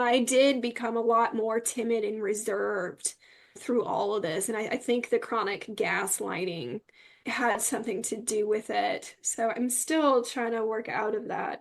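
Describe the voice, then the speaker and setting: A young adult woman giving earnest personal testimony as a podcast guest, speaking emphatically. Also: fairly high pitch.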